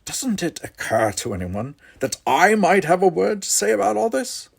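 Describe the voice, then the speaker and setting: A man's voice: fairly high pitch, very animated, normal speed, a little mellow, slightly rough, voice pushed up, exaggerated voice. A man voicing an earnest, frustrated young son. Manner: earnest, tired, pleading. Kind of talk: audiobook character voice.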